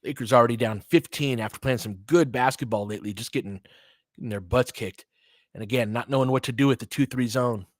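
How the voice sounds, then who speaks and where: A man's voice: mid-range voice, brisk, dry recording. Man, casual basketball commentary, dry close sound. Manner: conversational, slangy, swears casually. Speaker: podcast host doing play-by-play.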